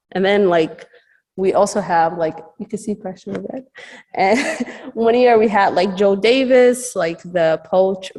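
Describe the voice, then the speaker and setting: Soft-voiced woman chatting with many fillers. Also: on the low side. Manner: rambling, many fillers and restarts. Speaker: campus ministry speaker. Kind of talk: casual talk presenting slides.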